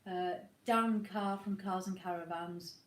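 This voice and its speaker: A woman's voice: lowish voice, steady tone, measured pace, a little hiss or hum. A woman with a cold and a slightly snotty voice, chatting casually to viewers at home on a livestream. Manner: chatty, rambling, warm greetings to viewers. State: has a cold, a bit snotty. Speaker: co-host of a motorhome live chat. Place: at home, indoors. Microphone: iPad. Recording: roomy echo.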